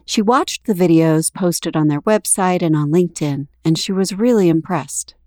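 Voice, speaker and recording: A woman podcast host narrating a story and asking interview questions in a clear, scripted way. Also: on the low side, normal speed, warm tone, no echo, studio quality.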